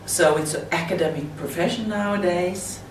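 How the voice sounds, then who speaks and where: A Swedish woman with a Swedish accent, speaking halting non-native English in a slide presentation at a conference. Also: on the low side. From Sweden. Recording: roomy, distant mic with faint hiss.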